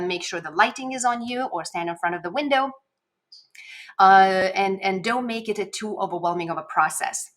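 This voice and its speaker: A woman's voice: medium pitch, a bit bright. A woman with a Lithuanian accent talks conversationally to camera as a video coach, giving encouraging tips and stressing key words. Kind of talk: casual instructional vlog to camera. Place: home office, indoors. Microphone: desk microphone at a webcam setup.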